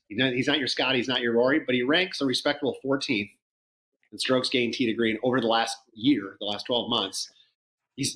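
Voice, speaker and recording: Mid-pitched male analyst, earnest, trips over his words. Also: fairly bright tone, low-quality recording. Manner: earnest, stumbling restarts. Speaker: golf betting analyst. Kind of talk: casual sports betting chat.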